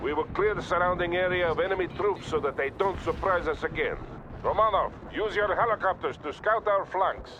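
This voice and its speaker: A stern, weary Soviet colonel from a war game, acted by a man, with a Russian accent. The voice sits a little high for a man and gives clipped commands. Battle noise is loud behind it. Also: brisk, muffled recording, lightly acted. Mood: commanding. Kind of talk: scripted video game cutscene acting.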